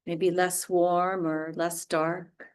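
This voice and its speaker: A woman leads a guided relaxation as a yoga teacher. Her voice sits a little low, and she speaks very slowly. She delivers short, soothing phrases with space between them. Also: flat delivery, clean tone.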